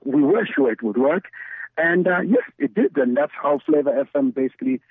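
A man's voice: a little high, animated, a little fast, husky, dry recording. A middle-aged man from Zambia, telling his story conversationally as an interview guest over a remote call. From Zambia, the Copperbelt. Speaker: guest, radio DJ and station founder. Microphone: remote call connection. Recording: thin phone-like line.

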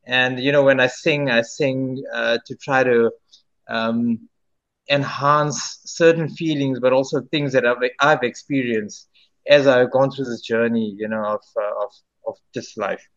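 Man in his thirties from South Africa, rambling interview answers, restarts, mid pitch, lo-fi audio. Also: fairly even intonation, a little slow, fairly bright tone. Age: 30s. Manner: rambling, many restarts and fillers. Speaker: singer-songwriter guest.

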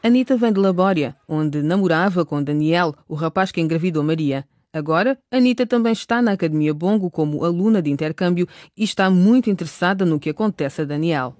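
A woman's voice: lowish voice, expressive, a little fast, hardly any pauses, darkish tone, no echo, clean studio sound. A woman reading scripted narration for a radio drama as its narrator.

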